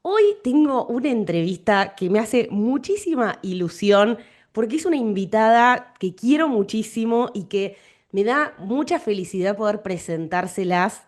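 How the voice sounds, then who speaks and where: Argentine woman hosting a podcast. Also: medium pitch. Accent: Argentine Spanish. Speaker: podcast host and interviewer. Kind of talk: podcast presenting and interviewing.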